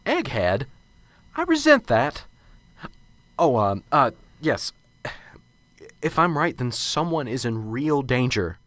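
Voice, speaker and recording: A man's voice: mid-range voice, sing-song, slow, long pauses, a bit bright, dry recording. A man playing a nervous, stammering professor. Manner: stammering, hesitant, anxious. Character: nervous, unsure adult male professor. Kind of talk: amateur radio drama acting. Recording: radio drama with music underneath.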